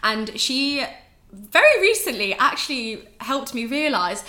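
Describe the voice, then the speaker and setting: A woman's voice: high-pitched, very animated, a little slow, pushed and bright, reverberant. A woman in her twenties with an English accent, a podcast guest talking candidly and casually, swearing now and then. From England, raised in Ireland. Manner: chatty, candid, swears, laughs often.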